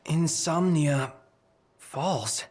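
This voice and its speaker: An actor playing an earnest, boyish young companion in a video game, with a casual, emotional way of talking. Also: male voice, fairly high pitch, extremely slow. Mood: hesitant. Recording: loud cinematic music underneath.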